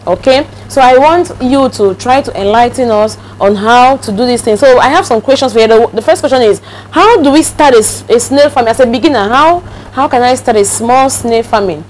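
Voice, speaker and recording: A woman's voice: a little high, a little fast, few pauses, slight background noise, low-quality recording. A woman from Cameroon hosting a casual interview, chatty and prone to repeating words as she starts a sentence. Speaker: host.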